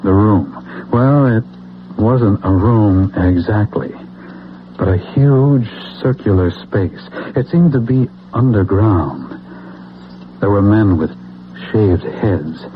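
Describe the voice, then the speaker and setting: Halting American soldier, tinny old radio. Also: male voice, lowish voice, a little hiss or hum. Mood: reflective, wondering. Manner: halting, hesitant, reflective storytelling. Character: earnest, dazed American soldier recalling near death. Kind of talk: American radio drama acting. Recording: phone-like old radio, clear music behind.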